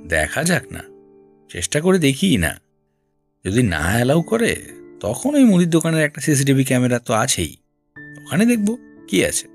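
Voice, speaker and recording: An actor playing a shrewd, persistent senior detective in an audio drama, questioning in a deliberate, probing way. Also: male voice, medium pitch, sing-song, creaky. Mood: determined. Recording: music bed behind voice.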